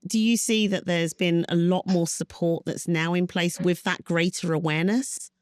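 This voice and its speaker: A woman hosts an interview with a somewhat low voice, asking questions in an encouraging way and affirming her guest with soft murmurs. The sound is dry and close, with no room echo. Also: steady tone, moderate pace, clean tone. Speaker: podcast host. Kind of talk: conversational interview.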